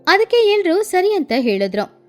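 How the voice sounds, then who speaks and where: A woman narrating a children's cartoon story in an expressive storytelling manner. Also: very high voice, moderate pace, faint music behind.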